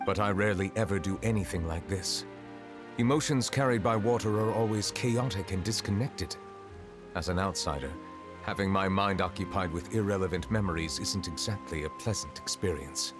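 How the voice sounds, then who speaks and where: An actor playing a solemn male chief judge in a fantasy game, speaking in a measured, formal, grave way. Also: sits low, natural voice. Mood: calm. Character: stern solemn male chief judge, dragon in human form. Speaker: judge delivering a verdict. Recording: music bed of a game cutscene.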